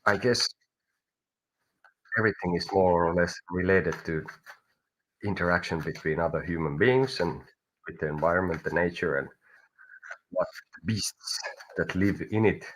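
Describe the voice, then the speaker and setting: A man with a Finnish accent and a low, husky voice gives a live tarot reading. He speaks haltingly and often restarts words while searching for them. His native language is Finnish. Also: fairly bright tone, rough recording. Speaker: tarot reader.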